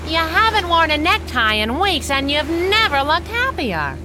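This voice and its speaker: A sassy, fearless adventuress in a 1930s-style radio serial. Her voice is high and very lively, pert and teasing, over clear boat noise. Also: measured pace, full character voice. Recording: noisy boat ambience.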